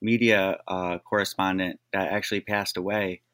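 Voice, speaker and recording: Low-pitched male guest, rambling and enthusiastic, full of fillers. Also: level tone, moderate pace, a bit bright, clear, resonant tone. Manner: rambling, heavy use of "like", enthusiastic. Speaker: podcast guest. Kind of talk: casual conversation.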